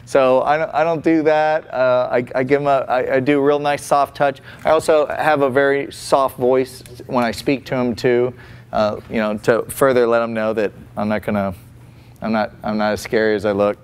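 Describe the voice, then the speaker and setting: A chatty man in his forties walks through a face painting demo in a relaxed, folksy way, often restarting his sentences. His voice is smooth and clear, at a middle pitch, in a small indoor room. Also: normal speed. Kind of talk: casual demonstration commentary.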